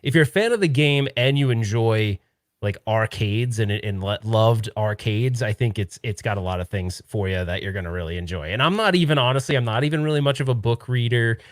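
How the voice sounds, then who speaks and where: A man's voice: fairly low pitch, a little fast, no room sound, clean studio sound. A man in his thirties hosting a podcast, who rambles and interrupts himself. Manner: rambling, self-interrupting, laughs easily. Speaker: podcast host. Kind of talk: casual podcast chat.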